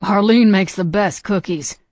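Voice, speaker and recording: A woman's voice: fairly low pitch, normal speed, no room sound, clean studio sound, natural voice. A woman voicing a teasing, cocky young man with a slight Southern accent, in an audiobook. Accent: slight Southern US drawl.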